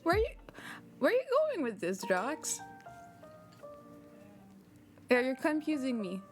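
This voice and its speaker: A woman's voice: high voice, very animated, moderate pace. A young woman from Quebec with a French Canadian accent, chatting casually with her livestream viewers, laughing often as she answers their messages. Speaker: streamer. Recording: music playing behind. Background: background music.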